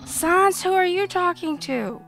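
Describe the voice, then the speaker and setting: A woman voicing a proud, excitable male skeleton guard captain in a grandiose, exclamatory way for a video game. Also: very high-pitched, a little slow, vocal fry, soft background music, voice pushed up, full character voice. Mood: excited. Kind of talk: dramatic video game dialogue reading.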